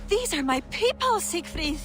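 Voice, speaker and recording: A woman playing a proud, defiant poetess at a royal court in a dramatic video game, indignant and forceful. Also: extremely high pitch, big pitch swings, moderate pace, soft background music, heavily acted. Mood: pleading, defiant.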